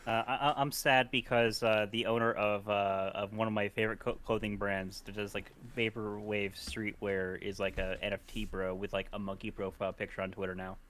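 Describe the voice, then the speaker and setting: A man co-hosting in casual, joking banter, halting and slangy, with some swearing. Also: on the low side, flat delivery, a bit bright.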